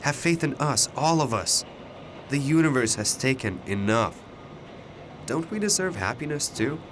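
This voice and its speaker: An actor in a radio drama playing a mysterious older alternate self, fatalistic and persuasive, speaking in a deliberate, ominous way. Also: male voice, mid-range voice, animated, background noise, close to an everyday voice. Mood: coaxing, urgent.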